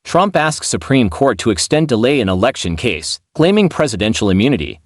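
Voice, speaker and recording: A man's voice: mid-range voice, very animated, fairly quick, soft background music. A man narrating scripted news as a newsreader.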